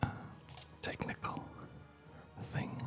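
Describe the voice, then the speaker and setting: An ASMR gaming host speaking in a soft unvoiced whisper, rambling in a reflective, hesitant way, close to the microphone. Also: slow. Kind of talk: ASMR, unscripted rambling over gameplay. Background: music.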